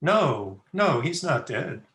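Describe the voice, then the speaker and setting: A man playing a kindly, earnest young gentleman in an old-time radio drama. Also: on the high side, very animated, slow, full character voice. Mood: concerned, insistent. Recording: roomy home video-call audio.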